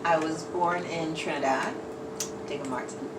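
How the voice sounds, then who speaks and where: A woman's voice: deep voice, steady tone, measured pace. A woman who grew up in New York, chatting and laughing easily as she is interviewed in an herbal shop. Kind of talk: casual interview chat. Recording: roomy, distant mic, noisy background.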